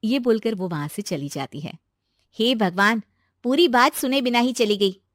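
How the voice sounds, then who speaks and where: A woman narrating a cartoon moral story in a steady storytelling manner. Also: fairly high pitch, normal speed, dry recording, studio quality.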